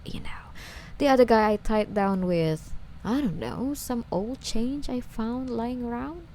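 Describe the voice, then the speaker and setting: A woman playing an obsessive, sweetly menacing female stalker in an ASMR roleplay, coy and breathy, often slipping toward a whisper as she coddles her captive. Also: a little high, a little slow, dark tone, slight background noise. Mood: possessive affection.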